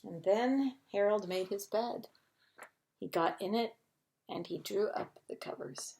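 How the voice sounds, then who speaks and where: A woman's voice: medium pitch, unhurried, gravelly, darkish tone, a little hiss or hum. A woman reading a children's picture book aloud as a bedtime story, expressively, with chatty asides to young listeners.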